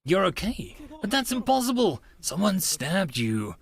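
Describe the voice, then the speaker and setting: A man's voice: sits high, very animated, measured pace, creaky, pitched above the performer's own voice, exaggerated voice. A man voicing an agitated, disbelieving young male protagonist from an anime visual novel in a theatrical, exclamatory way.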